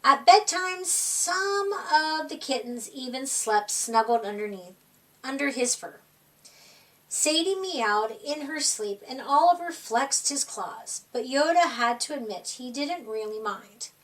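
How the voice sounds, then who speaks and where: A woman reading a children's picture book aloud in an expressive, dramatic storytime style, drawing out words for young listeners. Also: high-pitched, slow, distant mic, low-quality recording.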